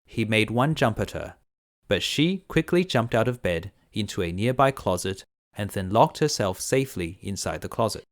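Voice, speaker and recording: A man narrating a children's fairy tale as a bedtime storyteller, in a measured, simple delivery. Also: on the low side, expressive.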